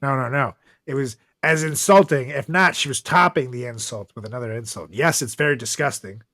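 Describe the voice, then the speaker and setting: A man in a casual comedic podcast chat, telling stories in a profane, animated way. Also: mid-range voice, a little fast, dry recording, clean studio sound. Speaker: podcast host.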